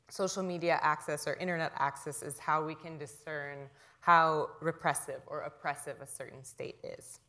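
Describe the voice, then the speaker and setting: Lady lecturer w a lower voice, chatty, keeps asking "right?", talks to a hall crowd on a mic. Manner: conversational, often checks in with "right?"; self-described loud voice. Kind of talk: public lecture.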